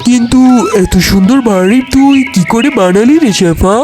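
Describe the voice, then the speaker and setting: A man's voice: high voice, moderate pace, a little mellow, faint music behind, lightly acted. A man voicing a familiar, exclamatory tiger uncle in a children's cartoon fable. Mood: astonished.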